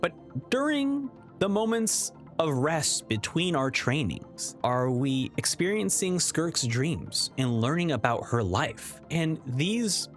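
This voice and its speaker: A man with a somewhat high-pitched voice hosts an enthusiastic video game review. His delivery is excitable and emphatic, with casual, informal phrasing. Soft music plays underneath. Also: slow pace. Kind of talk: enthusiastic video essay commentary.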